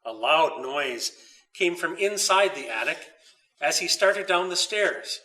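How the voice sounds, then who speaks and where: A man reading his own children's story aloud with expressive, dramatic narration. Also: a little high, slow, recorded from a distance, rough recording.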